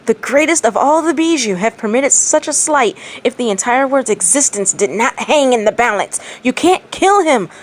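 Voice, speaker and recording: A woman voicing a desperate, imperious nine-tailed fox demon in a fan fiction reading, shrill and commanding.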